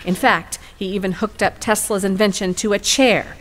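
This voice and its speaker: A woman's voice: medium pitch, fairly even intonation, moderate pace, fairly bright tone, natural voice. A woman playing an assertive lawyer in a courtroom audio drama, arguing formally and rhetorically. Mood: wry, narrating.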